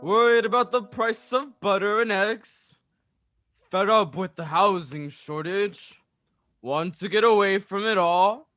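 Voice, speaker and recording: A man playing a grave, suspenseful announcer on an old-time radio adventure play, speaking in a dramatic, deliberate way. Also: sits high, pushed and bright. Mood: urgent, enticing. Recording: music under the voice. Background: music.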